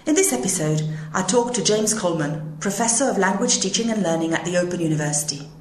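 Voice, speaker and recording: A woman hosting an educational podcast, reading her links in a clear, measured way. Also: on the low side, lively intonation, roomy sound, a little hiss or hum. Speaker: podcast host. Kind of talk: scripted podcast narration.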